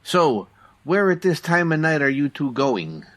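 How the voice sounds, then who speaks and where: Actor as nosy cabbie sidekick, very animated, wisecracking, comically affronted, old-time radio comedy. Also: medium pitch, measured pace. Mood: incredulous.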